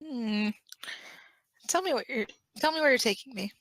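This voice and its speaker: A woman's voice: on the high side, lively intonation, normal speed, a bit bright, dry recording. A woman voicing a playful, pleading, dramatic young man in a fan-made audio drama. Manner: teasing, dramatic.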